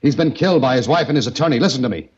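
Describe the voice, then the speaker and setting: An actor playing a hard-boiled adventurer who narrates and speaks in a 1940s radio drama, with wry, tough delivery. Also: male voice, medium pitch, expressive, very fast, a little mellow, husky. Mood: urgent. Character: hard-boiled male adventurer held captive. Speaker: narrator and lead. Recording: dull old radio recording.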